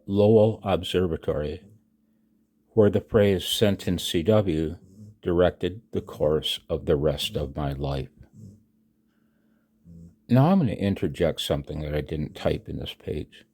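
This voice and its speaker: An American man in his sixties reading his own announcement aloud, emphatically and with dramatic pauses, as a radio hobby vlogger. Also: sits low, expressive, darkish tone. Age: 60s.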